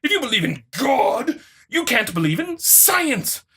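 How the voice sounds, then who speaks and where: A woman plays an indignant, smug online commenter in a mock dramatic reading. The voice is pitched very high and swoops theatrically, drawing out words with overwrought self-importance. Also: big pitch swings, measured pace, fairly bright tone, slightly rough, exaggerated voice. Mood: smug, challenging. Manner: overwrought, reads punctuation aloud.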